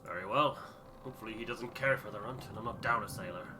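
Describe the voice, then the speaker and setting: A man's voice: fairly low pitch, fast, noisy background, close to an everyday voice. A man voicing a stern, shrewd expedition ship captain with a clipped, commanding, dry manner in a story game. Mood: brusque, wry.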